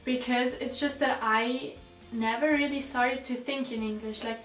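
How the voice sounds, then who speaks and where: A woman's voice: high voice, steady tone, a little slow, fairly bright tone. A teenage woman from South Tyrol, a native German speaker with a South Tyrolean accent, chatting casually to her viewers as a vlogger. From South Tyrol, Italy. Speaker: vlogger, exchange student. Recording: roomy echo, distant mic, background music.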